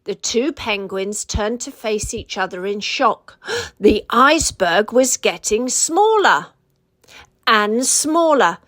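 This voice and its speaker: A woman reading a children's storybook aloud as an expressive narrator. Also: a little high, takes it slowly, no room sound.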